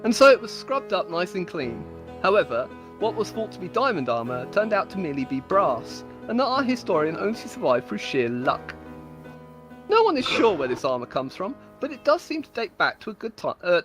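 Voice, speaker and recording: A woman giving a playful, mock-solemn dramatic reading of her own comic stories, like a storyteller. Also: lowish voice. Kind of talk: comedic dramatic reading of scripted tales.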